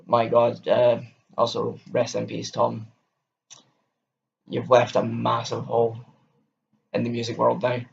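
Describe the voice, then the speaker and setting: A man's voice: medium pitch, monotone, a little slow, vocal fry, pauses often, lo-fi sound. A young Scottish man with a Scottish accent, enthusiastically rambling through a casual album review to camera. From Scotland. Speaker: music vlogger.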